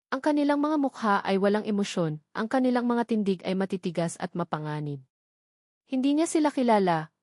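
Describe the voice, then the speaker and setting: A woman delivers scripted, dramatic story narration. Her voice sits at a medium pitch, and the recording is dry with very little room sound. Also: darkish tone, dry recording.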